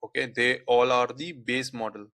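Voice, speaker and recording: A man's voice: mid-range voice, steady tone, moderate pace, fairly bright tone, dry recording. Hindi-speaking guy doing a screen tutorial, says okay like a lot, dead dry mic. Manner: frequent "okay, like" fillers. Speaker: tutorial instructor. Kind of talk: screen-recorded software tutorial.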